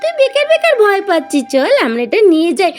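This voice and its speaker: Whiny, very high little girl. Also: huge pitch swings, fast, heavily acted. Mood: eager. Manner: pleading, childish whining. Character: stubborn whining little girl, bold and excitable. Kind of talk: children's doll-play cartoon story.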